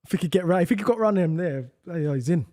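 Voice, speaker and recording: Excitable high-voiced man reacting to football. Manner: animated, quick exclamations and running commentary. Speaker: co-host of a football watch-along. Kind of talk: excitable live match reaction.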